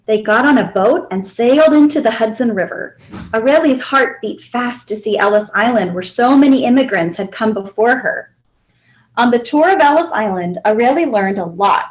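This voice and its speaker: A woman reads a picture book to children. Her voice is high and very varied in pitch, and she tells the story deliberately and expressively. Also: animated, low-quality recording. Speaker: librarian reading aloud.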